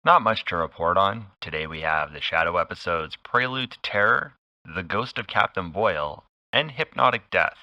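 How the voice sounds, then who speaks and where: A man hosting a radio broadcast with a deadpan, scripted delivery. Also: sits low, moderate pace, no echo, lo-fi sound. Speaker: host, radio operator. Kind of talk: scripted radio host monologue.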